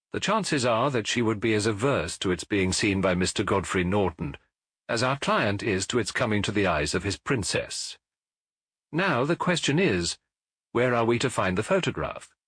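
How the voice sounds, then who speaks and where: A man voicing a brilliant, self-assured Victorian gentleman detective in an audiobook, with an English accent and a precise, authoritative way of giving instructions. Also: on the low side, animated, moderate pace, slightly rough, no echo. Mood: confident, reasoning. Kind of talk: audiobook character acting.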